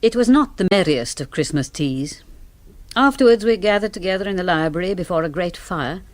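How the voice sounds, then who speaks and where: Actress as a wry lady sleuth, animated voice. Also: lowish voice, natural voice. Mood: narrating. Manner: measured, wry, articulate. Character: composed, dry-witted woman doctor, amateur sleuth and narrator. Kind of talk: British radio mystery drama acting.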